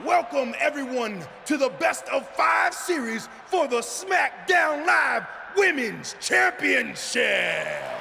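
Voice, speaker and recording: An exuberant game wrestler-showman, with a gravelly edge and big swings in pitch. He delivers slow, booming, theatrical proclamations like a playful event host. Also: sing-song, sharp tone, shouting, soft background music, exaggerated voice. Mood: bombastic hype. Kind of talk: showman ring hype and comic cutscene dialogue.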